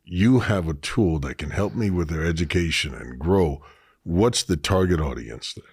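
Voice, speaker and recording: A middle-aged man with a very low, husky voice hosts an interview, building enthusiastic, long-winded questions for his guest. He is recorded close and dry, with no room sound around him. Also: animated, moderate pace, darkish tone, dry recording. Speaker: podcast host. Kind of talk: interview conversation.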